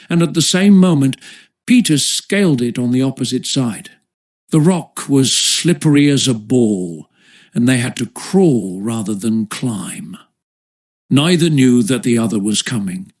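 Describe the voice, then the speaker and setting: A man's voice: mid-range voice, animated, measured pace, a little mellow. A man reading a classic children's adventure story aloud as an audiobook narrator, with a literary storytelling delivery.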